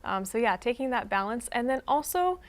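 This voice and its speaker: A woman's voice: fairly high pitch, animated, brisk. A young woman, a nurse, answering thoughtfully and in a friendly way as a guest in a faith interview. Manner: thoughtful, friendly, with some hesitations. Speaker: guest, emergency room nurse.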